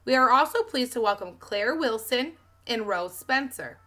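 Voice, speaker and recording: A woman voicing a proud male schoolteacher with a formal, deliberate, dry manner, reading from a storybook. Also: on the high side, animated, bright, forceful tone, voice pushed up.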